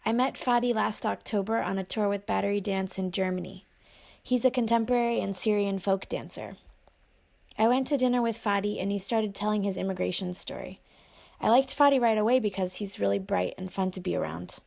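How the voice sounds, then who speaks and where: A woman hosting, who reads her narration clearly and asks short, encouraging questions. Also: mid-range voice, fairly quick, darkish tone. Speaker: host and interviewer. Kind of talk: scripted narration and casual interviewing.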